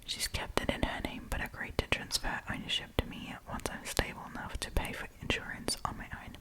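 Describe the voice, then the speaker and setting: Whispering woman, chatty ASMR storyteller. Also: fairly quick. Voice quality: breathy whisper. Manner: chatty, playful, slangy.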